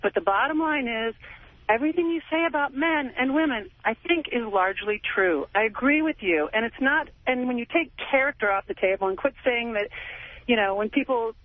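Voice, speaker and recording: A woman's voice: a little high, lively intonation, fairly quick, dry recording. An American woman in her forties calling a radio show, talking casually in a chatty, emphatic way. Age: 40s. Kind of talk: casual phone-in talk. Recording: tinny phone line on radio.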